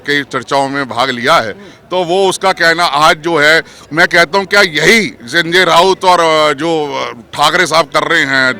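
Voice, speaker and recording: Forceful, emphatic man with highish voice. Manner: emphatic, rhetorical, mixes in English terms. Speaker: political commentator interviewed. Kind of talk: argumentative political opinion in interview.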